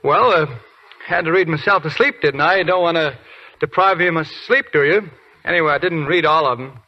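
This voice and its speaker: A cocky young soldier, drawling and cheeky. His voice sits a little high for a man. It comes through a muffled old 1940s radio broadcast. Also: fairly quick.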